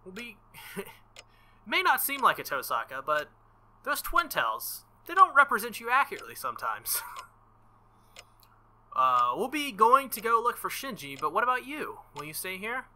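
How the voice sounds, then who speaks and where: A man's voice: high-pitched, wildly swooping pitch, moderate pace, long pauses, bright, forceful tone, slight background noise. A man streaming a visual novel, reading its dialogue aloud and adding playful, jokey commentary, sometimes stumbling and rereading. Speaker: video game streamer.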